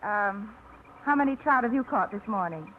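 An actress playing a flustered, nervous middle-aged woman who fishes, with a breathy, giggly voice, in a 1950s radio drama. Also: medium pitch, expressive, moderate pace, slight background noise, natural voice. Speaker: lead actress. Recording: dull old radio broadcast.

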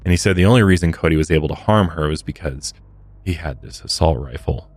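Very low male true crime narrator. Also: fast talker, darkish tone, husky, no room sound. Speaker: podcast co-host. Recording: dry close studio sound, music underneath. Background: background music.

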